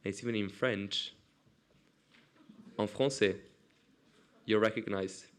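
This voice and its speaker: A man with a smooth, clear voice pitched somewhat low. He chairs a formal debate, calling on speakers and moving the session along in a brisk, procedural manner. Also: steady tone. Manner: brisk, procedural, prone to restarts.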